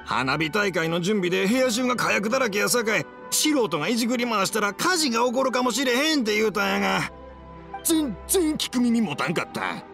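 Creaky flustered old Kansai man. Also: high voice, fairly quick, faint music behind, heavily acted. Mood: agitated. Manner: excitable, colloquial. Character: flustered elderly father, fireworks maker. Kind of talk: anime game acting.

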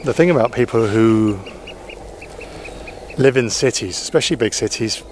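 A man in his forties talking casually to camera outdoors at a boatyard, relaxed and reflective, with frequent fillers and restarts. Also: mid-range voice, normal speed, slight background noise. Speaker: sailing vlogger. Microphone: camera-mounted mic, handheld selfie framing.

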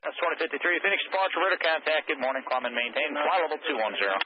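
Male air traffic controller, very fast routine calls, dull radio sound with hiss. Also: a little high. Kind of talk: radio exchanges with pilots. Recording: noisy lo-fi radio transmission.

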